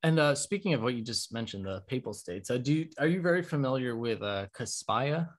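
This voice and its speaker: A man's voice: medium pitch, lively intonation, fairly quick. A man in his twenties chatting casually as the host of a podcast interview over a video call. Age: 20s. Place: room at home, video call.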